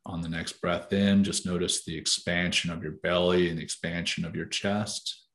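A man leading a guided meditation, giving calm, soothing and deliberate instructions. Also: low-pitched.

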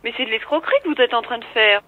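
A woman's voice: on the high side, rapid-fire. A woman working as an office receptionist, explaining firmly and protestingly to a caller over a narrow telephone line.

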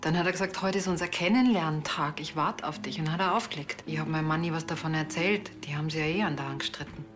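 A farmer's widow , played by an actress, speaking in a deep female register. She is weary and frank, telling things plainly in a subdued way, as in a television crime comedy. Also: fast talker, few pauses, over music, lightly acted. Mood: hesitant, reflective. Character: bereaved farmer's wife, weary, frank, resigned. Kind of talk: naturalistic TV crime comedy acting.

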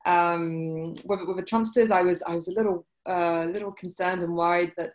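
A woman in her forties with a British accent, answering interview questions over a video call, halting with many restarts. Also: fairly low pitch, steady tone. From UK and France. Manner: hesitant, many restarts and fillers. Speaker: guest, journalist. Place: indoor room, video call. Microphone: laptop webcam mic.

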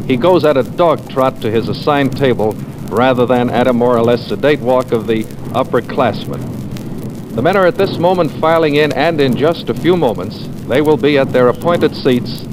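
An American man giving formal, measured live commentary as a 1950s radio announcer. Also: mid-range voice. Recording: noisy old 1950s acetate radio recording.

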